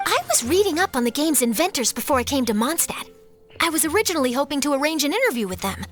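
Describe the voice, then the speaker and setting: An eager young reporter, with a girlish and extremely high voice. She speaks articulately and perkily in lively, swooping game-style delivery, over faint music. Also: fast. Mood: pensive. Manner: perky, articulate, inquisitive. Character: eager, inquisitive young female newspaper journalist. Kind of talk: animated video game voice acting.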